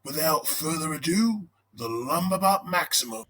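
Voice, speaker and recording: A man playing a showy announcer of an animatronic stage show in an audio drama, with a raspy voice. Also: on the high side, animated, normal speed, bright, forceful tone, full character voice.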